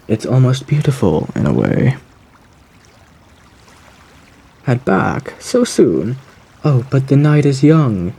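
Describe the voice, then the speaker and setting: A man voicing a suave, sinister gentleman lurking in the woods, in a theatrical, genteel ASMR roleplay with a husky voice. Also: medium pitch, heavily acted. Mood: sinister, enraptured. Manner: theatrical, genteel, drawn-out phrasing with endearments. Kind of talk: ASMR audio roleplay monologue.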